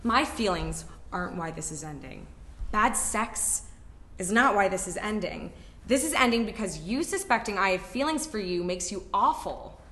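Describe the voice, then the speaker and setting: The voice is an actress playing a wounded, frank young woman in an echoey room. She speaks emphatically in a stage play, halting on the words that carry the most feeling. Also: medium pitch, animated, sharp tone. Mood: hurt, accusing. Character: wounded, frank twenty-four-year-old woman ending a romance. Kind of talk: live stage reading of a short play. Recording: echoey room at live reading.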